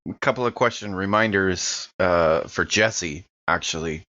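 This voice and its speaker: A man's voice: sits low, a little slow, sharp tone, muffled recording. A man chatting casually as a player on a tabletop game podcast. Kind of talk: casual chat.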